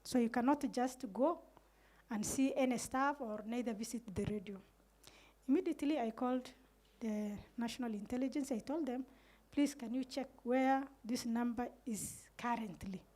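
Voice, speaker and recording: Earnest high-voiced Kenyan Somali woman. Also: moderate pace, mellow. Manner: earnest, recounts events with quoted dialogue. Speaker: community radio manager on a panel. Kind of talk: panel talk, storytelling. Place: indoor room with curtains. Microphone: handheld microphone.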